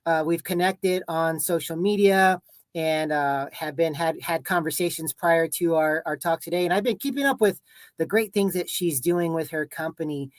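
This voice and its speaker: A man's voice: on the high side, normal speed, no echo. Upbeat Texan man hosting a podcast. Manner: upbeat, stumbles and repeats words, says "obviously" and "mm-hmm". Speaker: podcast host. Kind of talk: casual interview hosting.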